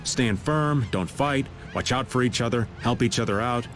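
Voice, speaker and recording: A man's voice: medium pitch, moderate pace, faint music behind, natural voice. A man playing an easygoing, wry, seasoned man in an English anime game dub.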